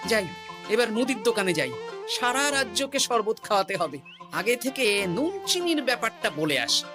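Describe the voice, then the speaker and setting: A woman's voice: fairly high pitch, very animated, a little fast, music in the background. A woman voicing a cheerful, curious male village jester in a cartoon, chatty and inquisitive. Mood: busy, cheerful. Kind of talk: cartoon dialogue.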